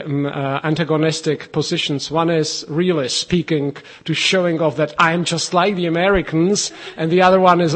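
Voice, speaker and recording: A man with a Czech accent, a linguist answering interview questions with many fillers and restarts. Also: a little high. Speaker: linguist, head of a language institute.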